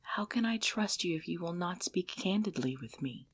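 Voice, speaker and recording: A woman's voice: lowish voice, expressive, breathy edge. A woman voicing an ancient, possessive vampire lover in an intimate ASMR roleplay, speaking breathily and formally, often dropping into a whisper. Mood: coaxing, tender. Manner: slow, formal, archaic phrasing, hushed.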